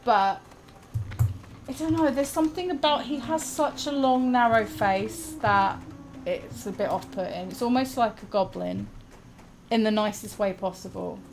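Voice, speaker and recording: A woman in casual gaming chat, rambling and self-deprecating, laughing often, her voice going shrill when she gets excited. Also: unhurried, slight background noise. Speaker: gamer co-host. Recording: music playing behind.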